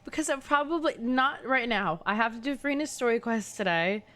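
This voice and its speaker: A woman's voice: fairly high pitch, animated, a little fast, pushed and bright, faint music behind. A young adult woman chatting casually with her viewers while livestreaming a game, bantering and teasing. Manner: bantering, teasing, talks to chat. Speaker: game streamer. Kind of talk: casual livestream chat and gameplay commentary.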